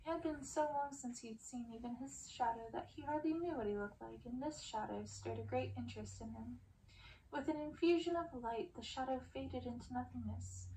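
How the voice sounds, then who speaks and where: A woman reading a fantasy storybook aloud as scripted ASMR narration, with a creaky voice. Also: fairly high pitch, mellow, a little hiss or hum, rough recording. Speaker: storybook reader, ASMR creator.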